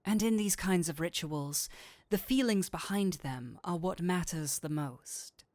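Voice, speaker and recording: A man playing a flirtatious incubus demon in a roleplay audio drama, with a teasing, theatrical, drawn-out delivery. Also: high-pitched, a little mellow, dry recording, studio quality. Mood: seductive, reassuring. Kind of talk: scripted roleplay audio drama, addressing the listener.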